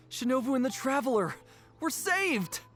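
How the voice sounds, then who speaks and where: An actor voicing a brash, hot-headed horned oni gang boss in a video game, loud, boastful and dramatic. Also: male voice, extremely high pitch, big pitch swings, slow pace, faint music behind, exaggerated voice. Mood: relieved.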